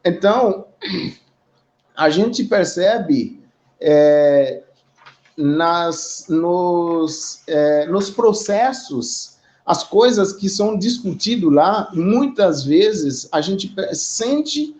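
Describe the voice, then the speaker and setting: A Haitian man speaking as a community guest in a live online discussion, talking in a measured, reflective way with frequent pauses and tag questions. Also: sits high, expressive, a little mellow. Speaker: guest representing an immigrant community.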